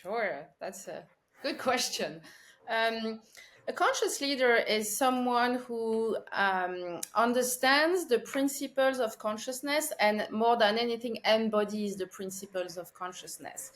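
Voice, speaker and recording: A woman in her forties from France with a French accent, speaking as a podcast guest in a thoughtful, measured way with frequent pauses and fillers. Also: fairly high pitch, fairly bright tone, slight background noise. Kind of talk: podcast interview.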